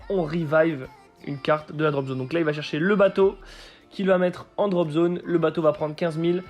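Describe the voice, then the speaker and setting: A man with a hoarse voice from a cold, commentating a card game match he played and explaining each play step by step. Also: sits high. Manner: explains plays step by step with frequent fillers.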